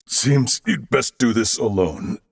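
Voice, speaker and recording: A man's voice: mid-range voice, slow pace, gravelly, no echo, clean studio sound. A man voicing a noble, solemn alien warrior in a video game, speaking formally and courteously. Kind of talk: video game character voice acting.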